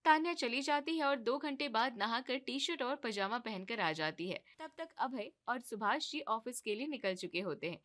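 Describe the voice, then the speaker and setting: Bright-voiced female storyteller. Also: fairly high pitch, expressive, moderate pace, few pauses. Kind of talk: scripted story narration.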